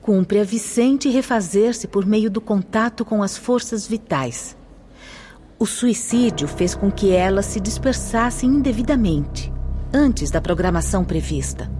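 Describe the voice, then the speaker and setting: A woman's voice: mid-range voice, animated, measured pace, dark tone, faint music behind, natural voice. A woman acting in a radio drama as a composed, firm spirit instructor, earnest and formal, with careful didactic diction. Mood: earnest, explaining.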